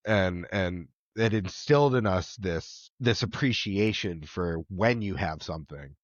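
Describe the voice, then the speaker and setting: A man telling his life story as a podcast guest, reflective, with many pauses, ums and soft laughs. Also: sits low, expressive, no echo, lo-fi sound. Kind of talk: candid personal conversation.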